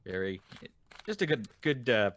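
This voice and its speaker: A man's voice: medium pitch, very animated, normal speed, fairly bright tone. A man co-hosting with casual banter and dry, teasing asides. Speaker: co-host helping dub voices.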